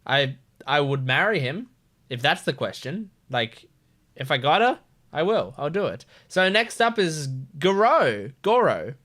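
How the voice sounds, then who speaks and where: High-pitched, animated man giving casual reactions. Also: a little slow. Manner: informal, playful, rambling. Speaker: reaction video host.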